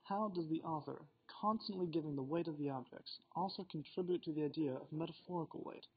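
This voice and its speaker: A man's voice: a little high, quick pace, husky. A teenage boy hosting a student book podcast, reading his questions in a formal, slightly theatrical way. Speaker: podcast host. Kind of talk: scripted school podcast discussion. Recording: dull lo-fi recording with faint noise.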